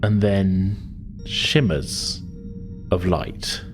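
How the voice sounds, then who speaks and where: A man's voice: sits low, drawn-out words, music in the background. A man working as game master, narrating a tabletop sci-fi adventure in a hesitant, conversational, descriptive way.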